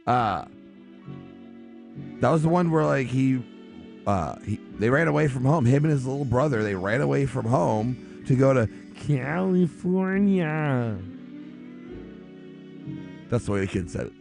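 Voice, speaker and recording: A man hosting a radio show with casual, off-the-cuff chat, rambling, halting and repeating himself. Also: medium pitch, expressive, creaky, a little mellow, soft background music.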